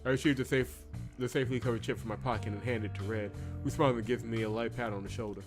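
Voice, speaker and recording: A man's voice: mid-range voice, fairly even intonation, quick pace. A man hosting a let's play, reading visual novel text aloud and breaking off into incredulous, sarcastic commentary. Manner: exasperated, incredulous, sarcastic asides. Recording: music behind the voice. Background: background music.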